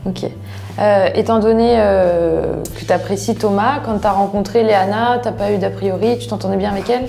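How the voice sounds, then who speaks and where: A woman's voice: mid-range voice, fairly even intonation, normal speed, gravelly, dark tone, soft background music. A woman asking casual questions as an interviewer. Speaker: off-screen interviewer.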